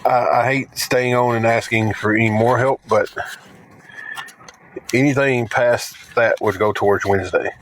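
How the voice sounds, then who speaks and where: A man with a Southern accent and a raspy voice, chatting casually on a live stream from his phone, rambling and repeating himself. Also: medium pitch, steady tone, measured pace, a little hiss or hum, poor audio. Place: parked car, then walking outdoors.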